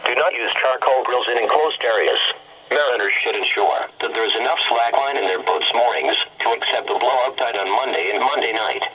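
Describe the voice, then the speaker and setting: A man's voice: mid-range voice, fairly quick, pushed and bright, a little hiss or hum, poor audio. A synthetic American man's voice reads a formal, impersonal scripted weather bulletin as a weather radio announcer. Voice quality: synthetic text-to-speech voice. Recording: weather radio broadcast sound.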